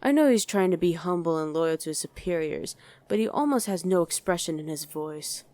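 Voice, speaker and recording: A woman's voice: fairly low pitch, expressive, a little fast, warm tone, no room sound, close to an everyday voice. A woman voicing a condescending, sardonic female demon commander in a chatty ASMR roleplay. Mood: sardonic, musing. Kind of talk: ASMR roleplay acting addressed to the listener.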